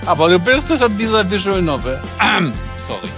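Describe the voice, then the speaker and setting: A man's voice: high-pitched, big pitch swings, moderate pace, music in the background. A man chipping in with casual, joking asides as a let's play co-commentator.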